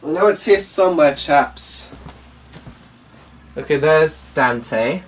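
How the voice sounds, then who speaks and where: A man's voice: on the high side, animated, takes it slowly. A man hosting a casual vlog, rambling to his viewers in a muttering, distracted, mildly exasperated way. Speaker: vlog host. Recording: distant mic with faint background noise.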